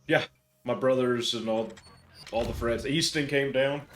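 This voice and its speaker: A young man chatting casually on a game stream, hoarse and congested from screaming. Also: mid-range voice, steady tone, a little slow, fairly bright tone. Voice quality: croaky, congested. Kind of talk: casual chat while gaming.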